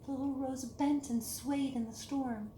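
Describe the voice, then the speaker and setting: A woman reading a picture book aloud to children in an expressive, deliberate storytelling manner, with hushed dips and emphatic lifts. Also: high voice. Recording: echoey room with clear background hiss.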